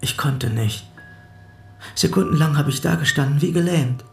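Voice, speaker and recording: An actor playing a flustered, excitable male witness in a radio drama, retelling events dramatically and mimicking others. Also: a little high, moderate pace, vocal fry. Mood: shaken, recounting. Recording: echoey room, music behind.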